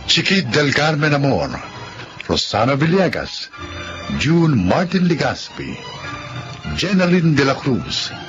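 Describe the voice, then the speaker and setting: A man narrating and announcing for a radio drama in a deliberate, solemn, scripted style. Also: medium pitch. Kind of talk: scripted narration and credit reading. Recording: dull lo-fi audio under loud music. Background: loud music.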